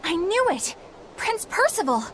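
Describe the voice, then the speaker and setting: A woman's voice: very high-pitched, sing-song, a little slow, background noise, full character voice. An actress playing an earnest, polite young woman exorcist in a video game cutscene. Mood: respectful, flustered. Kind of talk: animated game cutscene acting. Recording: background music over dialogue.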